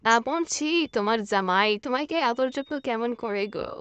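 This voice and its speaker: A woman's voice: fairly high pitch, lively intonation, moderate pace, clear, resonant tone, no room sound. A woman voicing a chatty, curious village neighbour woman in a cartoon story. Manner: chatty, inquisitive. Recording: loud music behind the voice.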